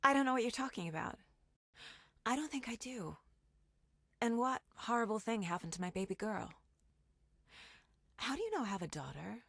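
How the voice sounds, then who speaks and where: A woman playing a focused, intuitive female FBI agent in a video game, speaking in a measured, introspective and intense way. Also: mid-range voice, vocal fry, husky, no echo, studio quality, heavily acted. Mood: guarded, uneasy. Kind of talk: video game voice acting, investigative monologue.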